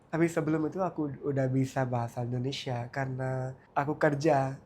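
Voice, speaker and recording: A man's voice: mid-range voice, steady tone, brisk, darkish tone, a little hiss or hum. A man, co-hosting a casual chat in a laid-back, dry way with short answers. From India. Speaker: co-host.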